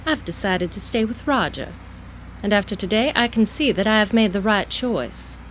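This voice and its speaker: A woman's voice: medium pitch, animated, normal speed, slight background noise, natural voice, low-quality recording. An actress playing a proud, wounded young woman in an audio drama, speaking in a curt, tense way. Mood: indignant.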